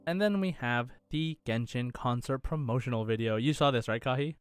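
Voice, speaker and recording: Male podcast co-host, medium-pitched, rambling casual chat, background music. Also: brisk. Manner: rambling, informal, frequent "like". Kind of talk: casual chat about video game news.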